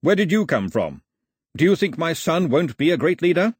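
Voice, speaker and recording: A man reading aloud and voicing a proud, forceful islander father who speaks firmly and emphatically. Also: on the high side, big pitch swings, moderate pace, husky, no room sound, clean studio sound, pitched above the performer's own voice. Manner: firm, emphatic.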